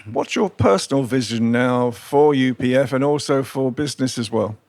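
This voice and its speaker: Gravelly male interviewer, dry close sound. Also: mid-range voice, animated, normal speed, a little mellow, no echo. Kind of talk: interview questioning.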